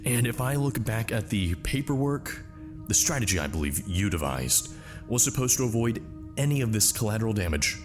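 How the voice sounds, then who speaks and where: A man's voice: on the low side, very animated, moderate pace, over music. A man acting a condescending, sarcastic lieutenant who questions people at a military hearing. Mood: sardonic, pressing. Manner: condescending, sardonic, interrogating. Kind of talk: screen drama acting.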